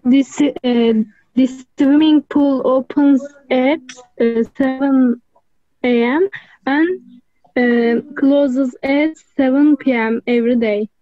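A woman's voice: high-pitched, steady tone, slow pace, dry recording. A teenage girl with a Turkish accent hesitantly reading an answer over a video call. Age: teens. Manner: hesitant, with fillers. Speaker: student. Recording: tinny phone-like call audio.

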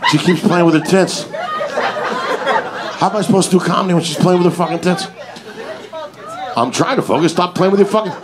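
A man's voice: sits high, a little fast, long pauses. A middle-aged man from New York with a New York accent, doing brash, profane crowd work as a stand-up comedian on a club stage with a handheld mic. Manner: brash, profane, mocking banter. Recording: roaring crowd, loud room noise.

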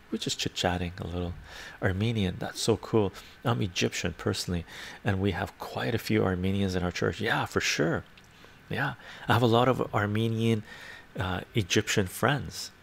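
A man's voice: lowish voice, vocal fry. An older man from Canada hosting a casual livestream from his home study on a lapel mic, chatting and answering viewer comments aloud. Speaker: livestream host reading chat.

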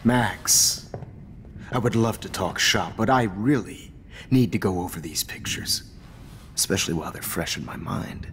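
Deep-voiced, menacing American killer. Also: male voice, expressive, a bit bright. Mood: calm, sinister. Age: middle-aged. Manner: smug, measured, menacing, prone to sudden outbursts. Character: cold, arrogant male photography teacher turned killer. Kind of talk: dramatic video game acting. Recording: reverberant room with ambient noise.

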